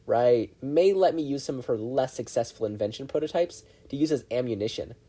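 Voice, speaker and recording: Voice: medium pitch, lively intonation, a little fast, mellow, breathy edge. A performer voicing a brash, proud teenage girl inventor who is cocky and commanding. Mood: eager.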